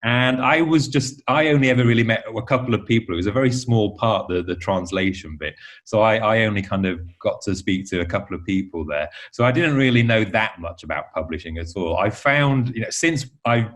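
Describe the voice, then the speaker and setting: A man's voice: lowish voice, expressive, brisk, fairly bright tone. A man from Yorkshire with an English accent, chatting casually as an interview guest in a rambling, self-correcting way. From Yorkshire, England. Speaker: interview guest, novelist and teacher.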